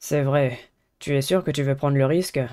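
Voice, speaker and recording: A man voicing a polite, earnest teenage schoolboy in a dating game, measured. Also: medium pitch, fairly even intonation, a little mellow, clean tone, lightly acted. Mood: calm, earnest.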